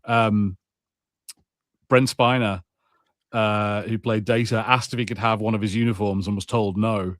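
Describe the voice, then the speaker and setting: A man's voice: lowish voice, steady tone, a little slow, long pauses, fairly bright tone, dry recording, clean studio sound. A man in casual podcast conversation as a co-host, telling anecdotes in a rambling way. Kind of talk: casual chat.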